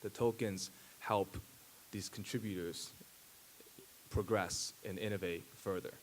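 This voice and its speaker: Casting: native Japanese man, 30s, low voice, hesitant stage speaker, faint hiss. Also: steady tone. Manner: halting, many fillers and restarts. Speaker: conference speaker. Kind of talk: conference keynote talk. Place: large dark auditorium stage. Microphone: handheld mic at a podium.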